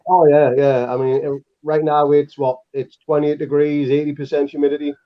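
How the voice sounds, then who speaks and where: A man's voice: fairly high pitch, little pitch movement, moderate pace. A laid-back man with a Northeast English accent talks with dry humour as a podcast guest. His voice comes through a video call and sounds a little dull and muffled. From northeast England. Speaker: podcast co-host. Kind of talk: casual podcast chat.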